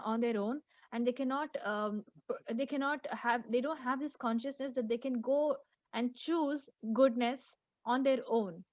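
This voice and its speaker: A woman teaching an online class over a video call, speaking haltingly with many fillers and restarts. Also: on the high side, no echo, dull audio.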